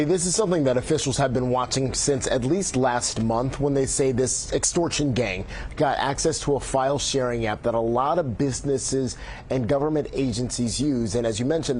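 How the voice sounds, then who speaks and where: A male news correspondent delivering a measured, informative report. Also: medium pitch, darkish tone, slight background noise.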